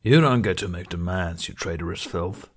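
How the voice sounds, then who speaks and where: Man playing a deep, calmly menacing Viking jailer. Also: normal speed, slightly rough. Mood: contemptuous. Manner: deep, calm, threatening. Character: hulking middle-aged Viking jailer, menacing and contemptuous.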